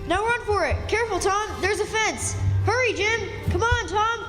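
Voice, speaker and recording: A woman's voice: very high voice, normal speed. A woman playing a plain-spoken, practical American country boy in a live radio drama, talking in questioning, folksy dialect. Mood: urgent. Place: historic club hall, live performance. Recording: echoey hall stage, faint music.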